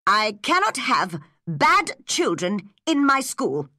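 A woman's voice: a little high, sing-song, slow pace, a bit bright, dry recording, clean studio sound, pitched above the performer's own voice, heavily acted. A woman voicing a stern, unfair male village schoolteacher in a storybook, firm and scolding.

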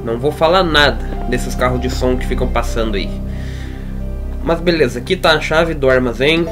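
A man's voice: medium pitch, moderate pace, music in the background. A man with a Brazilian accent giving casual, slangy let's play commentary as he plays. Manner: informal, slangy. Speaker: gaming YouTuber.

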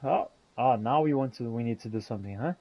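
A man's voice: mid-range voice, quick pace, muffled recording. A man giving theatrical in-character gameplay commentary, reacting aloud as he role-plays. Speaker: gamer role-playing aloud.

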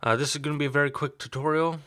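A man's voice: fairly high pitch, rapid-fire. Man with a slight sore throat explaining. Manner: relaxed, explanatory, thinks aloud with fillers. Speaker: tutorial host. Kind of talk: screen-recorded coding tutorial.

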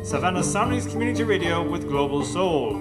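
A man's voice: fairly high pitch, animated, fairly quick. A man reading a scripted radio station announcement as the programme's announcer. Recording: loud music under voice. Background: country guitar music.

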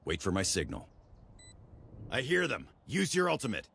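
An actor playing a commanding military squad leader in a game, giving terse tactical orders in a gravelly voice. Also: male voice, medium pitch, huge pitch swings, normal speed, a bit bright, slight background noise, heavily acted. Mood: urgent. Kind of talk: tactical game tutorial dialogue.

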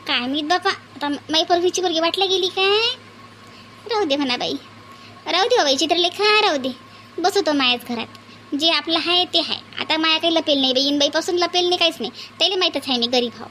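A woman plays a poor, pleading elder sister-in-law in a cartoon comedy. Her manner is meek, hesitant and plaintive, with a Varhadi Marathi accent. She talks quickly over faint background noise. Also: very high-pitched, animated, bright, forceful tone, exaggerated voice. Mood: plaintive resignation.

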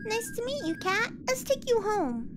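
A woman's voice: very high-pitched, very animated, music in the background. A woman voicing a sweet, polite, curious little girl in a game fan dub, childlike in manner. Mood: curious, friendly. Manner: childlike, slow. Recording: noisy ambience.

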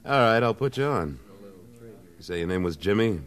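Actor playing a very deep-voiced, tough trail boss. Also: male voice, expressive, moderate pace, natural voice. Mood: brusque. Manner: terse, authoritative. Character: tough, commanding, terse western trail boss. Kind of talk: 1950s radio western acting.

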